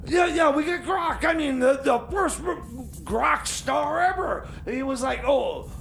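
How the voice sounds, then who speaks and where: A man co-hosting a radio show with excitable, stammering comedy banter. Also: very high-pitched, measured pace, gravelly.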